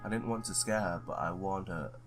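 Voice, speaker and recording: Hard-boiled male ex-cop detective for a visual novel, read by a man, lower register, wry, game music clearly behind. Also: a little fast. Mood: brooding narration.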